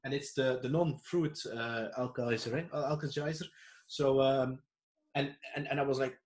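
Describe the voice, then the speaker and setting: A man from Belgium with a Flemish accent, a podcast host speaking in halting English with frequent restarts and questioning tags. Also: mid-range voice, steady tone. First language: Dutch. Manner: hesitant, halting, tag "eh?" fillers. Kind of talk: conversational interview.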